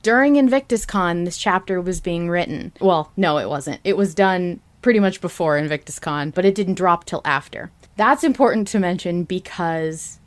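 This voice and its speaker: Lowish voiced lady hosting a fan podcast, chatty n into it, casual. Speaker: podcast host.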